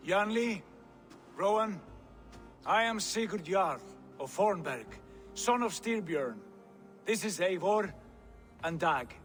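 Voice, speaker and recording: An actor playing a confident, commanding Viking jarl and proud clan leader in a dramatic video game. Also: male voice, high voice, lively intonation, takes it slowly, long pauses, a bit bright, faint music behind, exaggerated voice. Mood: proud, welcoming.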